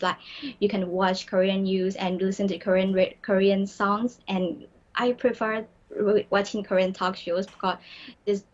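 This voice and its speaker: A woman's voice: mid-range voice, a little mellow, lo-fi sound. Earnest young woman from Myanmar. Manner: earnest, with frequent restarts and fillers. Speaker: graduate student guest. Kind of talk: studio interview answers.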